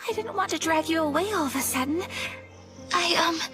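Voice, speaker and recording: A gentle young noblewoman. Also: very high voice, expressive, full character voice. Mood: apologetic. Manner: gentle, formal, hesitant with small pauses. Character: young noblewoman, graceful, polite and apologetic. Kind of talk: video game voice acting. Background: game music.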